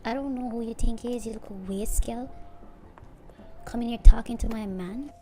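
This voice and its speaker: A woman's voice: a little high, moderate pace, music in the background, close to an everyday voice. A woman voicing a jealous, confrontational teenage schoolgirl. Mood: jealous, sneering. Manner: sneering and confrontational. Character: jealous, mouthy teenage schoolgirl guarding her boyfriend.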